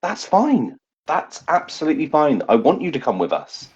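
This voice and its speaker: A man's voice: on the high side, huge pitch swings, normal speed, breathy edge, lo-fi sound, pitched above the performer's own voice, full character voice. A man playing an earnest, slightly pompous would-be knight in a tabletop game, pleading his case. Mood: insistent.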